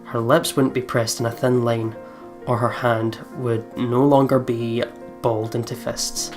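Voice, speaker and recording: A man's voice: medium pitch, level tone, unhurried, soft background music. A man hosting a let's play, reading the story aloud expressively and commenting casually.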